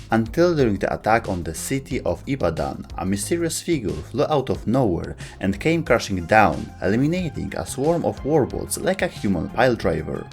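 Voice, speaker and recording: Guy doing scripted lore narration, lowish voice that moves around a lot, music underneath. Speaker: narrator of a video game lore explainer.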